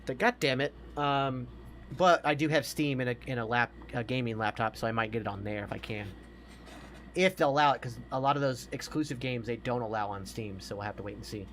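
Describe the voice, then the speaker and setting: A man's voice: mid-range voice, fairly quick, a bit bright, a little hiss or hum. A man co-hosting a casual podcast chat, giving emphatic opinions and swearing freely. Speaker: podcast co-host. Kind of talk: casual opinionated chat about games and movies.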